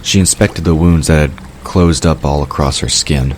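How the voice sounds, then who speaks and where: A man reading scripted narration for a fantasy audio drama in a measured storytelling manner. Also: extremely low pitch, slight background noise.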